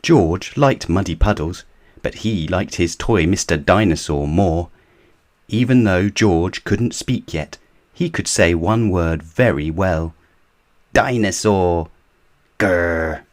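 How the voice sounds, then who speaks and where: Deep, slow storybook-reading man. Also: animated. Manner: gentle and measured. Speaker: reader of children's books.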